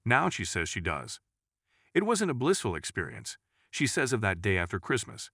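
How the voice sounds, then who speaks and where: Low-pitched male narrator, lively intonation. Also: normal speed, no echo, studio quality. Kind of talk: scripted narration of true stories.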